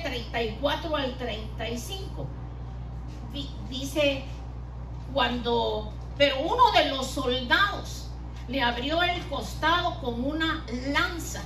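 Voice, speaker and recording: Middle-aged woman preacher, homely sermon style, room echo and background noise. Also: high voice. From Guatemala. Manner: homely, uses diminutives and everyday examples. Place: church hall. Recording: echoey room from a distance, noisy.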